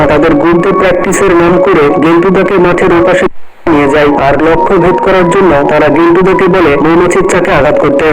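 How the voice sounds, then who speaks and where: Fast, high-voiced male narrator. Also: low-quality recording. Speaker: video narrator. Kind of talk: scripted countdown narration. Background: loud music.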